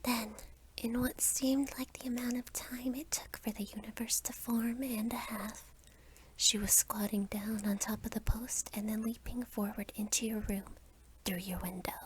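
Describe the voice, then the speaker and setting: A young woman narrating a scripted second-person ASMR story in a soft whisper. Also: a little high, little pitch movement, normal speed, slight background noise. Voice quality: whispery, breathy.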